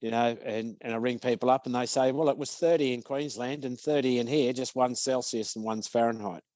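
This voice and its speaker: An Australian man in his sixties from Victoria, a business-owner guest telling anecdotes in a relaxed, jokey way. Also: mid-range voice, a little fast, dry recording. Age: 60s. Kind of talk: casual interview storytelling.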